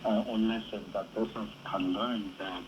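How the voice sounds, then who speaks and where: A man with a fairly low voice offers political commentary in halting, non-native English. He is a professor on a panel, heard through clear shortwave static. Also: steady tone, dull audio. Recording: shortwave radio with heavy static. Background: shortwave radio noise.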